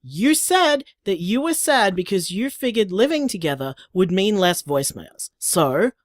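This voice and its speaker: A man's voice: high-pitched, sing-song, a little slow, dry recording, studio quality. A man playing an affectionate, playful young bar owner, chatting casually as if leaving voicemails in an audio drama. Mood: playful teasing.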